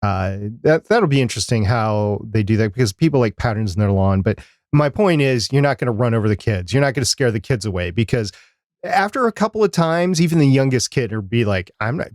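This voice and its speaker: A man with a medium-pitched voice chats casually as a podcast co-host. He rambles along conversationally, and the sound is dry, with no room echo. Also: animated, fairly quick, a little mellow.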